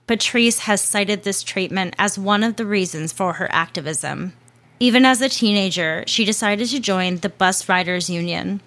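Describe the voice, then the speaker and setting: A woman's voice: mid-range voice, moderate pace, fairly bright tone. A woman hosting a show, reading a scripted narration in a casual way with chatty asides and the odd swear word.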